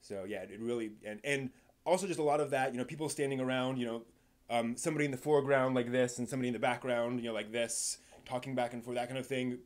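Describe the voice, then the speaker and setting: A man's voice: mid-range voice, steady tone. Man rambling casually on a live stream. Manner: halting and rambling, with repeated words and trailing thoughts. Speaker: live stream host and anime reviewer.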